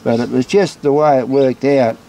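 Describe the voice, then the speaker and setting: Mellow man amid faint bee buzz. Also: medium pitch, steady tone, moderate pace. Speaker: beekeeper presenting a hive inspection. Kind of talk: casual explanatory vlog narration. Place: outdoors beside a beehive and brick house. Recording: clear buzzing insect noise behind. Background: buzzing bees.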